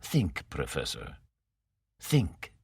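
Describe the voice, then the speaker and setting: Hushed, deep, unhurried menace, a man reading the villain. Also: huge pitch swings, creaky, slightly rough, dry recording, exaggerated voice. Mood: mild menace. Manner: mild, low, slow and quiet. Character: cold, sinister mastermind, calm and menacing.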